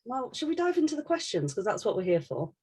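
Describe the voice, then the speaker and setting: A woman's voice: on the high side, sing-song, fast talker, a little mellow, breathy edge. A woman co-hosting a podcast in a casual, joking and irreverent way, reading out questions. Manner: playful, irreverent. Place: bedroom.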